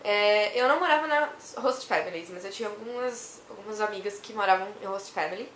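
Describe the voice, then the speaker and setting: A young woman from Brazil who is hoarse from a cough, chatting to camera in a rambling way and laughing often. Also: mid-range voice, brisk, fairly bright tone, echoey room, slight background noise. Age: young adult. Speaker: vlogger. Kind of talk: casual chat to camera.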